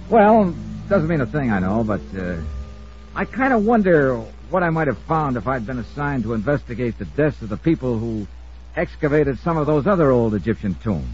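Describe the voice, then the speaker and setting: An actor playing a shrewd, sardonic insurance investigator, wry and pressing, in an old radio detective drama. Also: male voice, mid-range voice, darkish tone, natural voice. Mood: wry narration. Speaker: star and narrator of the show. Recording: muffled old radio recording.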